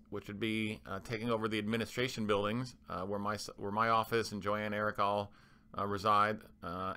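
Male manager for presentation voice-over: bright, forceful, smooth tone, explanatory and somewhat halting. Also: fairly low pitch. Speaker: club manager presenting renovation plans.

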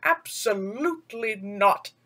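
A woman voicing a reserved, skeptical male panther from a storybook in a measured, protesting manner. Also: fairly high pitch, expressive, pushed and bright, breathy edge, heavily acted.

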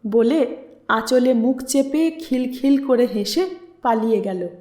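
High-voiced woman narrating slowly, roomy echo. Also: a little mellow. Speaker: audiobook narrator. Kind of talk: expressive literary story narration.

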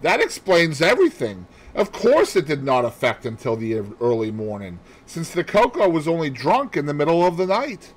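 A man voicing an excitable, self-satisfied gentleman sidekick with an emphatic, pompous manner, as audiobook dialogue. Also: mid-range voice, measured pace, few pauses, breathy edge, full character voice. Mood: excited triumph.

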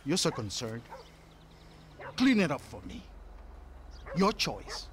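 An actor playing a proud, bitter old man in a video game, deliberate and contemptuous. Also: a little high, wildly swooping pitch, full character voice. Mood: accusing. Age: older. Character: proud, bitter, scornful old man defending his family. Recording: faint outdoor background noise.

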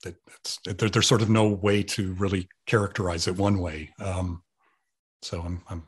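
A man's voice: low voice, steady tone, moderate pace, slightly rough. A man in his fifties from Serbia, whose first language is Serbian, answering interview questions on a video call, hesitant with many restarts. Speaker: guest artist. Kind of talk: thoughtful conversational interview. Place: home room with sofa.

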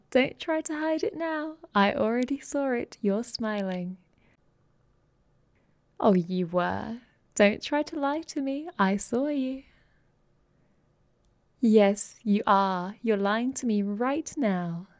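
A woman's voice: a little high, expressive, slow, pauses often, no room sound. A woman playing a playfully dominant, affectionate vampire girlfriend in an intimate ASMR roleplay, cooing and teasing, often dropping toward a whisper.

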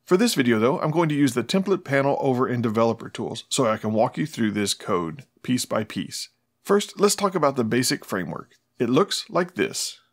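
A middle-aged man hosts a scripted tutorial. He explains things in a plain-spoken way, at a medium pitch. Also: big pitch swings, normal speed. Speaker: host of a smart home tutorial channel.

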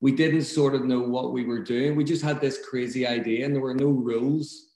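A man's voice: medium pitch, fairly even intonation, moderate pace, dark tone. A man in his thirties with a Belfast accent, a film director speaking thoughtfully and earnestly over a video call. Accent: Northern Irish, Belfast. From Northern Ireland. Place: room at home. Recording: echoey room.

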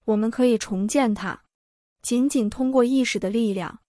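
A woman's voice: a little high, moderate pace, dark tone, dry recording, studio quality, close to an everyday voice. A woman dubbing an earnest female speaker in a documentary monologue. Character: earnest woman calling for human unity. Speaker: documentary dub voice.

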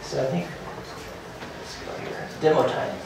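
A man in his thirties giving a hurried startup presentation at a meetup, full of fillers and restarts. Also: mid-range voice, lively intonation, creaky, heavy background noise. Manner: hurried, filler-heavy, restarts and repeats words. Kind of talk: conference talk. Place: meetup room with projector and seated audience. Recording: very echoey room from far off.

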